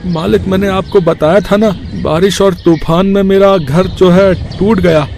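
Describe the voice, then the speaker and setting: A man's voice: high voice, steady tone, measured pace, a little mellow, faint music behind. An actor playing a poor, hardworking village father in a cartoon, earnest, hesitant and deferential. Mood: humble, hopeful.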